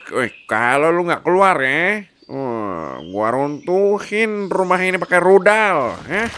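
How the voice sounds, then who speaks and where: Man doing a brash hungry big wolf, swooping pitch. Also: expressive, slow pace, a bit bright, a little hiss or hum, exaggerated voice. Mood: blustering, menacing. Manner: blustering, slangy, stammering "eh". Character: big hungry crude pet wolf, blunt, obedient to boss. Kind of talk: acted bedtime story in ASMR roleplay.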